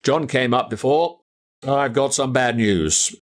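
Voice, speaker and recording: A man with an Australian accent voicing a sombre father in a storybook, speaking plainly and gravely. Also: medium pitch, slow pace, lightly acted. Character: sombre Australian dad breaking bad news to son.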